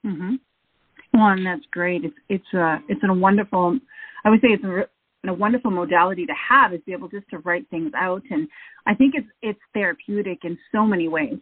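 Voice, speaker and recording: A woman's voice: on the low side, fairly quick, breathy edge, no room sound. A woman hosting an interview show, talking enthusiastically and effusively. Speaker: show host. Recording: phone-like narrow audio.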